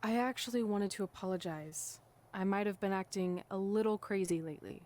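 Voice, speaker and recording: A woman's voice: medium pitch, fairly quick, darkish tone, close to an everyday voice. A woman voicing a wry young wrestler, a proud best friend, in a video game cutscene, sarcastic and grudging. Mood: grudgingly apologetic.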